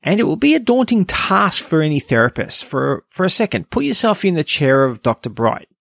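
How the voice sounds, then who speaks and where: A man's voice: a little high, big pitch swings, brisk, gravelly, fairly bright tone, no echo, clean studio sound. A man with an Australian accent hosting a podcast, reading a scripted review. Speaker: podcast host.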